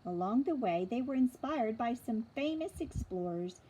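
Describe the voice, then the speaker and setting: A woman's voice: a little high, lively intonation, moderate pace, mellow, slightly rough, low-quality recording. A woman reading a storybook aloud and addressing young listeners directly. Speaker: storybook reader. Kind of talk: read-aloud narration addressed to children.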